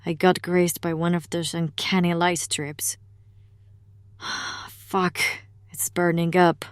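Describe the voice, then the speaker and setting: Actress as guarded female investigator, audio drama. Slightly low pitch, slow pace, blunt and wary. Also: little pitch movement, clean tone, no room sound, clean studio sound. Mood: pained.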